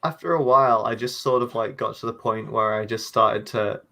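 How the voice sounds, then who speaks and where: A man's voice: on the low side, fairly even intonation, moderate pace, vocal fry, sharp tone. A man video creator chatting casually on a panel, with a slightly nasal voice, stumbling over restarts and joking at his own expense. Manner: rambling, stumbling restarts, self-deprecating. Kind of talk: casual online panel chat.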